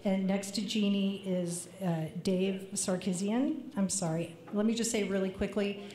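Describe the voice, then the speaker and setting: A woman's voice: steady tone, measured pace, warm tone. A woman official giving opening remarks at a public meeting, reading from her notes and sounding a little nervous. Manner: low-voiced, reads from notes, slightly nervous. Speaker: state agency official. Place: large public meeting hall. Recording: echoey meeting hall, faint noise.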